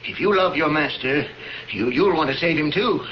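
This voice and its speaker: Actor playing a stammering, desperate tavern keeper, noisy radio recording. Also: male voice, on the high side, animated, moderate pace, dull audio, natural voice. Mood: urgent, wheedling. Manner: stammering, breathless, pleading. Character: greedy, cowardly male tavern keeper, trapped and pleading. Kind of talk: 1970s radio drama acting.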